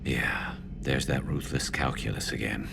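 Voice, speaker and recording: Give this game character an extremely low, gravelly voice. He is a battle-hardened alien soldier, loyal and tired, speaking frankly with a wry edge. Loud ambient noise sits behind him. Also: unhurried, exaggerated voice. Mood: weary, grave. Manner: frank, earnest, confiding. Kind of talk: dramatic video game dialogue.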